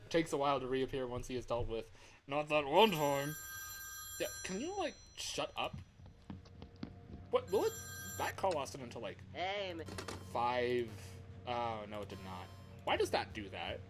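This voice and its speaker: A man with a mid-pitched voice commentates casually on a game for a livestream. He talks at an unhurried pace, muttering to himself and reacting excitably. Music plays clearly behind him. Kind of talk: casual live gameplay commentary.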